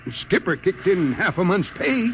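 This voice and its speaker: A man's voice: high-pitched, sing-song, moderate pace, a bit bright, muffled recording. An actor playing an awkward, apologetic spaceship crewman with a hesitant, placating manner in a 1950s radio drama. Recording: noisy vintage radio broadcast.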